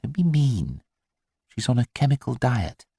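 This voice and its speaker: Man voicing an anxious new mother, lifted pitch. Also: big pitch swings, a little slow, gravelly, mellow, husky, dry recording, clean studio sound, exaggerated voice. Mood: careful, defensive. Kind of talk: audiobook character dialogue.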